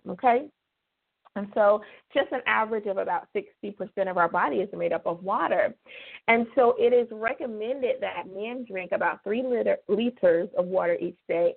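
Slightly hoarse woman on a phone line. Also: medium pitch. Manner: chatty, drawn-out emphasis on key words. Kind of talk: casual devotional radio talk. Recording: phone-line call-in radio.

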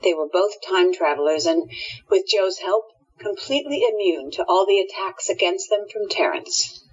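A slightly muffled recording of a woman narrator reading scene descriptions for a radio play. Her voice is husky and sits at a middle pitch. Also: animated, normal speed. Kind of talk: scripted audio drama narration. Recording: dull lo-fi recording.

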